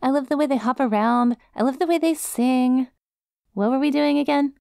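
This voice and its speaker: A woman's voice: high voice, moderate pace, creaky, mellow, clean tone, dry recording, clean studio sound. A woman voicing a friendly, scatterbrained dog-girl student in an ASMR roleplay, with a casual, rambling, easily sidetracked manner. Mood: sheepish, distracted. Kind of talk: ASMR roleplay, one-sided conversation with listener.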